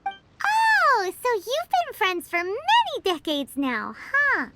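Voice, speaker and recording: Game sidekick: chirpy childlike fairy, squeaky, sky-high pitch, hugely animated. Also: female voice, slow pace, very noisy, heavily acted. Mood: curious, surprised. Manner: eager, bubbly, blunt. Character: tiny, chirpy, excitable childlike fairy companion. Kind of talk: video game voice acting.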